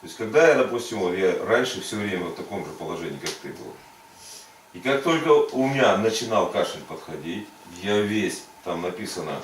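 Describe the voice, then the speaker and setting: A man's voice: low-pitched, lively intonation, a little fast. A man working as a faith healing preacher, giving insistent prayer commands and coaching in short, repeated phrases. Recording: echoey room, distant mic, faint noise.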